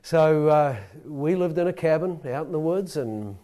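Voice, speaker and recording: Mellow New Zealand man telling a story. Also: fairly high pitch, moderate pace. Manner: modest, rambling, self-deprecating. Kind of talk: personal story told to a live audience.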